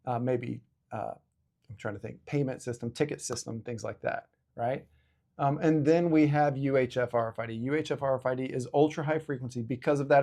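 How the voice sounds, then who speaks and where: A man's voice: mid-range voice, vocal fry, warm tone. Casual, self-deprecating man hosting an explainer. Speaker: host of an educational video series. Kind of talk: casual explainer talk to camera.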